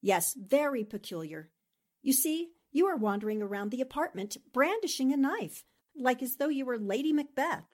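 Wry newspaperwoman, played by an actress, slightly high voice, knowing, composed, vintage radio drama delivery. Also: very animated, normal speed. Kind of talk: 1940s radio drama acting.

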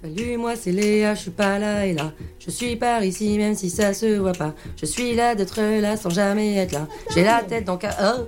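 A woman's voice: mid-range voice, normal speed, few pauses, darkish tone, faint music behind, natural voice. An actress playing an impulsive, childish daughter of thirty in a podcast drama, whiny and exasperated with her mother. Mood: playful, slangy. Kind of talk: naturalistic French fiction podcast acting.

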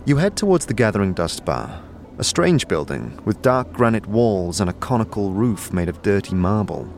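A man reading scripted audio drama narration in a measured, descriptive storytelling way. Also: lowish voice, big pitch swings, creaky, a little mellow, a little hiss or hum.

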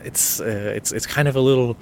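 A man's voice: medium pitch, a little fast, a little hiss or hum. A man whose first language is Danish hosts an unscripted solo podcast, talking in a hesitant, intimate way with many restarts. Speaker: podcast host.

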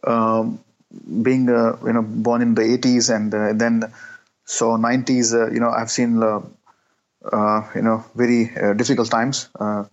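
Man from Pakistan, thirties, interview guest, halting, lots of fillers, monotone delivery. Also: mid-range voice. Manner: hesitant, many fillers and restarts. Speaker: guest, entrepreneur. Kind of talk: casual interview answers. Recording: dull lo-fi sound.

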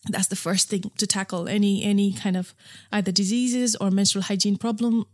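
A woman's voice: medium pitch, flat delivery, fairly quick, a little mellow, no room sound, clean studio sound. Woman, frank and chatty. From Nepal. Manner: frank and chatty, with frequent fillers and restarts. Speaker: interview guest, founder of a period underwear brand. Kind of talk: casual interview conversation.

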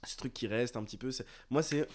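A young man from the south of France chats at a very quick clip. His talk is slangy and rambling, full of loose turns, as in a relaxed podcast between friends. His pitch sits in a middle male range. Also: a little hiss or hum. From south of France (Marseille area). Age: 20s. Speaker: podcast co-host. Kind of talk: casual conversation.

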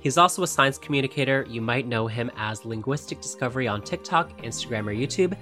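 A young man from the Philippines hosting a podcast in a lively, chatty, upbeat way. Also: mid-range voice, fast, soft background music. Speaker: podcast host. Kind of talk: casual podcast chat.

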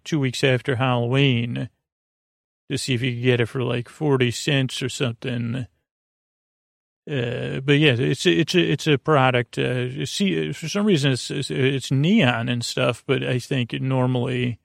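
A man hosting a sleep podcast with a meandering, halting bedtime monologue, often trailing off mid-thought in a creaky, gravelly voice. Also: mid-range voice, no room sound, studio quality. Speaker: podcast host. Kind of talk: rambling bedtime monologue to help listeners sleep.

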